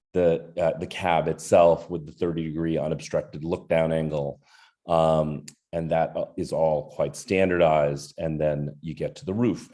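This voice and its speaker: Male presenter, low and mellow voice, halting delivery full of fillers. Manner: hesitant, many ums and uhs. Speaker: architect presenting a design. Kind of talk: presentation talk.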